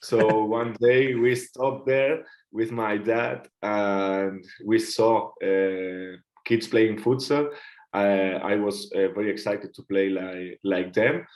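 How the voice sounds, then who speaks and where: A man with a Spanish accent and a fairly low voice answers interview questions in English. He talks haltingly, pausing to search for words and restarting phrases. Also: fairly even intonation, darkish tone. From Catalonia, Spain. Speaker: guest, futsal player.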